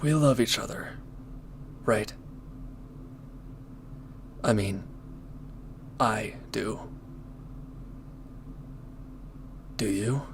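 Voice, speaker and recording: A man playing a former villain turned hesitant, conflicted lover, speaking earnestly and haltingly in an intimate audio roleplay. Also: lowish voice, slight background noise. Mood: hesitant, anxious.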